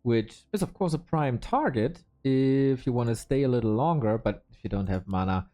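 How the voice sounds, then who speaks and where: A man's voice: medium pitch, normal speed. A man casting a live esports match, joking and thanking viewers from chat. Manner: jokey, animated, reads out donations. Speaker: esports caster. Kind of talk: live game commentary with chat shout-outs.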